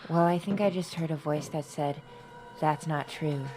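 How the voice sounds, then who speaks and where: A woman's voice: sits low, moderate pace, background noise. A woman discussing choices casually and in character as a player in a tabletop role-playing game. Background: music.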